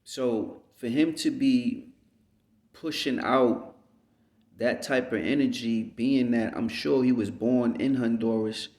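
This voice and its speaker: A man from the United States giving an unhurried, rambling reflective monologue as a vlogger, often checking in with "you know what I mean.". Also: medium pitch, fairly even intonation, darkish tone. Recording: roomy echo.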